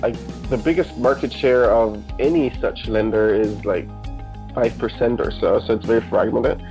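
A man's voice: medium pitch, normal speed, darkish tone, soft background music, poor audio. A man from Germany, a guest entrepreneur, giving organized, articulate interview answers. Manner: articulate, organized, explaining points in order.

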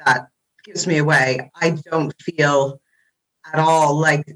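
A woman's voice: low voice, fairly even intonation, slow, fairly bright tone, poor audio. A woman in her forties from Pennsylvania with an American accent mixed with English influences, chatting as a podcast guest and laughing often. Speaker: podcast guest, interior designer. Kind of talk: casual chat.

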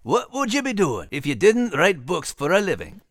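A man voicing a brave, adventurous dog character with a Scottish accent and an animated delivery. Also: high-pitched, moderate pace, no echo, clean studio sound, heavily acted. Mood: chatty.

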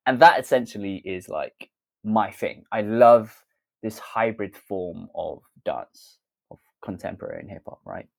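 A young British man answers interview questions in a low voice. He talks candidly and thoughtfully about his work as a dancer. He often breaks off to correct himself and adds small fillers. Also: a little slow, creaky, a little mellow. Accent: British English. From Britain. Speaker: dancer and theatre maker. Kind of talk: interview answer, reflective storytelling.

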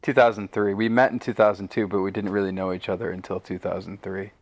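Male interview guest. Slightly low voice, casual, wry delivery. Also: flat delivery, fast talker. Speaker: podcast guest, audio drama writer. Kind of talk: casual chat.

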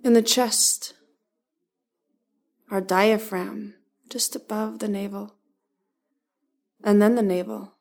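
A woman leading a guided body-scan meditation, giving calm, gentle instructions in short, spaced phrases. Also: mid-range voice, slow pace, pauses often.

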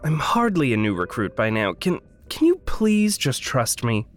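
Expressive earnest young man. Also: medium pitch, normal speed, faint music behind. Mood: defensive, uneasy. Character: Liam, earnest guilt-driven young man working undercover. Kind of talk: audio drama acting.

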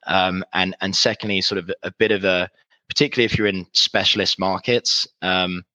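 Low flat congested man with a cold. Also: normal speed, dry recording. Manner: many fillers and repeated words, earnest. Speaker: recruitment executive, guest. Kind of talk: casual interview answers. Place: remote, in Dallas.

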